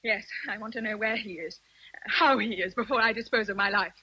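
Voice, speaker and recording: An actress with an English accent playing a passionate, independent young Victorian governess in a radio drama, earnest and emotional. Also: female voice, mid-range voice, brisk, bright, forceful tone, breathy edge, dry recording, rough recording. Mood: firm, pained. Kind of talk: BBC radio drama acting.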